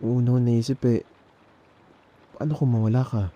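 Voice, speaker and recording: A man with a Filipino accent playing a nervous, tender young man in an intimate ASMR boyfriend roleplay, speaking haltingly and repeating words. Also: medium pitch, fairly even intonation, dark tone, lo-fi sound, natural voice. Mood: hesitant, tender. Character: nervous, tender young man confessing love to male best friend. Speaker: ASMR audio roleplay performer.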